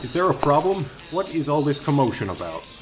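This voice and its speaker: A man playing a strict, pompous fast-food manager with a formal manner in an audio drama. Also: fairly high pitch, sing-song, music in the background, rough recording. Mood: officious. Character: officious, strict male fast-food manager, company loyalist.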